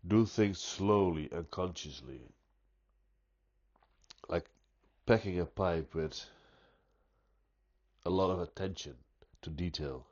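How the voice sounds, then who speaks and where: A man with a very low, deep voice and a stuffy nose talks unhurriedly in a thoughtful vlog monologue. He chooses his words deliberately, often doubling back to restart a phrase, and the recording sounds a little dull and lo-fi. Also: darkish tone. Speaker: pipe-smoking vlogger. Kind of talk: unscripted reflective monologue.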